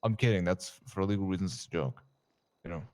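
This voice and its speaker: A man's voice: low voice, quick pace, darkish tone. A man in a roleplay playing a prison officer, sardonic and joking, swearing freely. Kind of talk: in-character roleplay chat.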